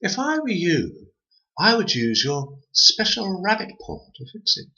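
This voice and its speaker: Spirit twins voiced by a man, high, teasing, bright, lo-fi recording. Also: wildly swooping pitch, unhurried, exaggerated voice. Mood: sly. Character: cheeky mocking twins, spirits. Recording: dull lo-fi recording.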